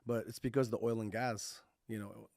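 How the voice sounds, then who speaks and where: Man chatting haltingly in a dry studio sound. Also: fairly low pitch, little pitch movement, vocal fry, a little mellow, no room sound. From Kazakhstan, grew up partly in Texas. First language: not English. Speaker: podcast guest, tech entrepreneur. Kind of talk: casual interview chat.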